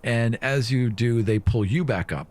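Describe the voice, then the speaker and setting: A man's voice: mid-range voice, unhurried, a little mellow. A man narrating a tabletop fantasy game as game master, casually describing scenes in loose, conversational phrases.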